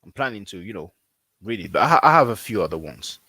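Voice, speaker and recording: A man who is not a native English speaker, talking casually as a language teacher, repeating himself often and filling pauses with "you know.". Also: fairly low pitch, sing-song, sharp tone, slightly rough, no room sound. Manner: repetitive, conversational, frequent fillers. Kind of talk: casual promotional talk.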